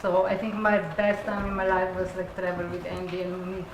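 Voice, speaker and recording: A woman from Slovenia with a Slovenian accent, talking informally at a Q&A in halting non-native English, searching for her words. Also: fairly low pitch, completely flat delivery. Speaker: puppet designer and puppeteer. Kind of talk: informal Q&A talk after a show. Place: echoey room at a live Q&A. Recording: roomy, noisy live event recording.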